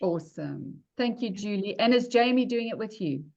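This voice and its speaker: A woman's voice: medium pitch, expressive, moderate pace, gravelly, a little mellow, muffled recording. A woman coaching a group on a video call, upbeat and encouraging as she calls on each member.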